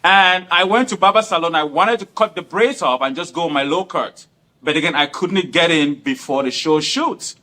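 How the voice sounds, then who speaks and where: Earnest man, bright voice. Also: fairly high pitch, normal speed. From Cameroon. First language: not English. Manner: earnest, formal, repeats himself. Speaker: guest. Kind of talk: explaining himself in an interview.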